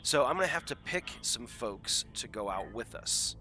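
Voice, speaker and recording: A man's voice: medium pitch, animated, moderate pace, sharp tone, soft background music. A man hosting a live game stream, chatting casually and self-deprecatingly to viewers through a headset, congested from a head cold.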